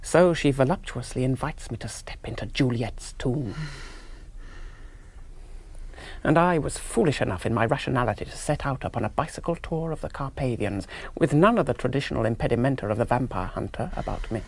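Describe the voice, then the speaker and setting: An actor playing an earnest, priggish young rationalist hero, narrating a radio drama in precise, fastidious literary phrases. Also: male voice, mid-range voice, lively intonation. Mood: wry, rueful.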